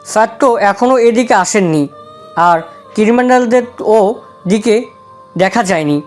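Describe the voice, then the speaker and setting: A woman's voice: medium pitch, animated, unhurried, a little mellow, soft background music, close to an everyday voice. A woman playing a formal, composed security force officer in an audio story. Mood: reporting. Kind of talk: dramatized audio story acting.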